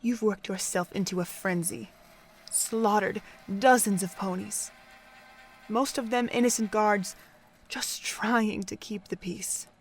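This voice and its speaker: A woman acts out a cold female pony overseer in a radio play. She speaks with deliberate, theatrical contempt, in a mid-pitched voice. Her scorn swells into intensity and settles back into measured menace. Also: fairly even intonation, soft background music. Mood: scornful, intense. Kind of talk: dramatic audio drama acting.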